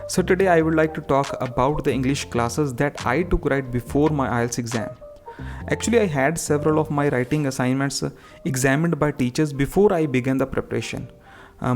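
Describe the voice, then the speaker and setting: A man's voice: on the high side, darkish tone. A man with an Indian accent presenting as an exam tutor, explaining and reading out model answers, sometimes switching between English and Hindi. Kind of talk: instructional explanation with model answers read aloud.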